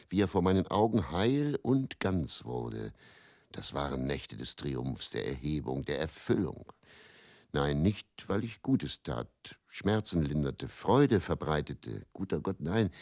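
A weary travelling faith healer in a radio drama, his voice low and recorded very dry. He speaks in a brooding, confessional way, circling back on his own words with deliberate repetition and self-doubt. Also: creaky, a little mellow, dry recording, full character voice. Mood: wry, intense. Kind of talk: German radio play dramatic monologue.

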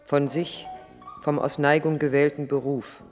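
A man's voice: fairly high pitch, little pitch movement, a little slow, a little mellow, long reverb tail, soft background music, close to an everyday voice. A man acting as a sober, probing chronicler in a 1980s German radio play. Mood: sober, deliberate. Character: sober, probing male chronicler narrating a man's life.

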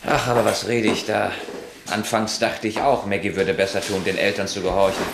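A gruff, brooding young soldier on leave in a radio play. His voice sits very low with a gravelly rasp. He is quick to anger and heavy with bitterness. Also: little pitch movement, background noise. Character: embittered young male soldier on leave, hot-tempered.

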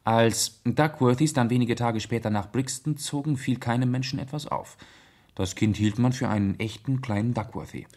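An actor playing a gentleman detective in a 1970s radio play, reasoning calmly in with measured, deliberate pauses. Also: medium pitch, close to an everyday voice. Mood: narrating, wry.

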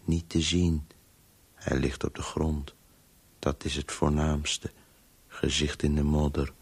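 Very deep, weary storytelling voice. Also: male voice, slow pace, breathy edge, exaggerated voice. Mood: bleak, narrating. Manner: fragmented, terse, monotone, urging. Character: weary, driven inner voice of a man compulsively storytelling. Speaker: radio drama actor. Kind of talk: Dutch radio play monologue.

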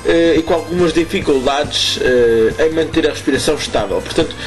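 A man with a European Portuguese accent hosting a casual radio show, hoarse from smoke, rambling and correcting himself with chuckling asides. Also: a little high, fairly quick, soft background music, poor audio. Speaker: radio host.

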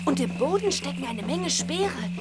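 A woman's voice: high voice, animated, moderate pace, over loud music. A woman voicing a plucky, curious young witch girl in a children's audio drama, childlike and eager. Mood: eager, adventurous.

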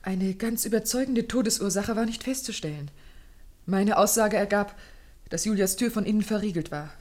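A woman's voice: medium pitch, moderate pace, darkish tone, natural voice. An actress playing an anxious, well-bred young lady in a German radio play, speaking earnestly and formally with careful articulation. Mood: earnest, troubled.

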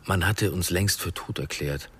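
A man's voice: low-pitched, normal speed, fairly bright tone, husky. A man playing a reflective, guarded survivor in a conversational radio drama. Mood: haunted, reflective. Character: reflective, guarded male jungle crash survivor.

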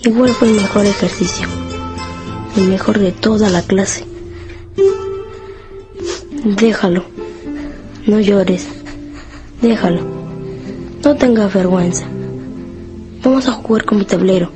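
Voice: mid-range voice, slow pace, pauses often, lightly acted. Una voz joven interpreta a un escolar solidario e insistente en una radionovela, con habla coloquial y repetitiva. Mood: consoling. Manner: pressing, repetitive, colloquial. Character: caring, insistent young schoolboy classmate. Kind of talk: radio drama acting. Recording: guitar music under the voice.

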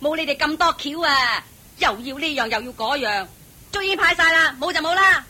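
A woman's voice: sits high, expressive, brisk, sharp tone, heavily acted. An actress playing a rude, impatient servant woman who scolds and dismisses people, in a radio play. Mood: snappish. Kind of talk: Buddhist radio drama acting.

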